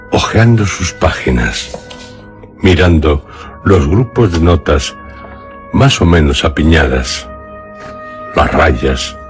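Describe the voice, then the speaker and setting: A man narrating a literary tale for radio theatre in a solemn, deliberate manner with dramatic pauses. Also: deep voice. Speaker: radio storyteller.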